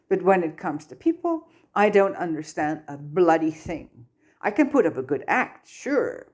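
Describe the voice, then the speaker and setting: A woman's voice: fairly low pitch, darkish tone, pitched above the performer's own voice. An earnest, dramatic teenage schoolboy wizard from a storybook, as read by a woman. He sounds clever and sincere, a bit lost when it comes to people.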